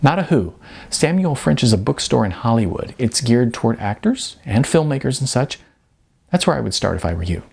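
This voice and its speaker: Guy doing a young receptionist lady, dismissive gatekeeper type, higher lilting voice, novel reading. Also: very animated, fairly quick, vocal fry, a little mellow, breathy edge, exaggerated voice. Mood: incredulous, advising. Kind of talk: audiobook character dialogue.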